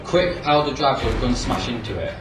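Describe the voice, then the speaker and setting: Military space pilot male actor, sci-fi radio play: dutiful, brisk, mid-pitched, roomy sound with loud music behind. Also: very animated, close to an everyday voice. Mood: tense. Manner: obedient, brisk military replies. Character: dutiful spaceship pilot serving under a captain. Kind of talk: science fiction radio play acting. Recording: echoey, distant, under loud music.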